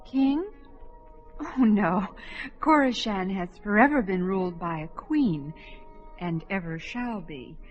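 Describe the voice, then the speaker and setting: A woman's voice: mid-range voice, animated, slow. An actress playing a vain, flirtatious queen of a desert city with a prim manner. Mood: coquettish. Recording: dull lo-fi radio play with music.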